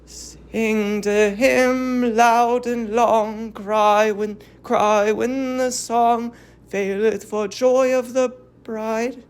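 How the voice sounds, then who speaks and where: A woman performs a doomed young princess and prophetess of Greek tragedy. Her voice is high and slow, with creaky edges, declaiming in an ecstatic, vengeful incantation. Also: fairly even intonation, a little mellow. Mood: frenzied rapture. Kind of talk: classical tragedy dramatic reading.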